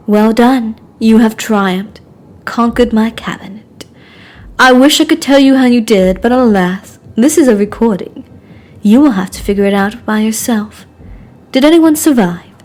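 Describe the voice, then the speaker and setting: A woman's voice: on the high side, darkish tone. A woman playing a cruel, mocking young aristocratic lady, prim, taunting and theatrical, as a game character. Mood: mock congratulation. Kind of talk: game character voice acting reel.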